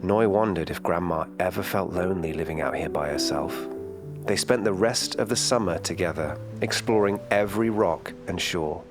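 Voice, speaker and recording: A man reading a children's bedtime story in a calm, gentle storytelling manner. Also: sits low, moderate pace, music in the background.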